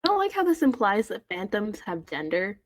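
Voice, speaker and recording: A woman's voice: medium pitch, very animated, fairly quick, no room sound. A young woman reading a story aloud casually, dropping in wry, nitpicking asides. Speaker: co-host reading fan fiction on a call. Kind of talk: casual read-aloud with joking commentary.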